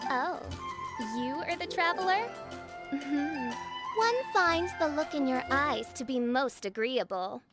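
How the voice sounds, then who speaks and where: Pompous, high-voiced dark princess. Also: female voice, animated, takes it slowly, over loud music. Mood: regal, intrigued. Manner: pompous, theatrical, archaic speech. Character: grandiose teenage girl, self-styled princess of darkness. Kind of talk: video game cutscene acting.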